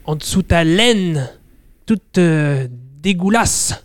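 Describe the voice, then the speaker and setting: A man playing a whiny, touchy elf in an improvised fantasy sketch, halting and repeating his words. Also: high-pitched, expressive, no echo. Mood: touchy, indignant. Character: whiny, touchy elf adventurer longing to become a dwarf.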